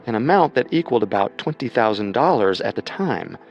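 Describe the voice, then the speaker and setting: A man with a low-pitched voice narrates a scripted true-crime story as co-host. His delivery is measured and story-like, with faint music running underneath. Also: animated, dull audio. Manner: measured storytelling with rhetorical questions.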